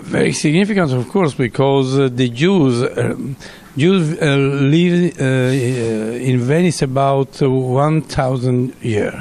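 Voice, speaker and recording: A man, an English speaker, answering interview questions in halting English with many pauses and fillers. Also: mid-range voice, darkish tone.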